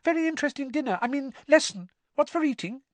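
Teenage boy, very high pitch, nervous gabble, flustered, frightened, audiobook, dry sound. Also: expressive, fast, no echo. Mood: panicky false cheer.

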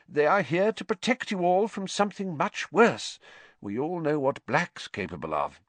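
A man's voice: a little high, very animated, normal speed, no echo, pitched above the performer's own voice. A man voicing a pompous, blustering middle-aged government minister in a storybook. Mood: uneasy, defensive.

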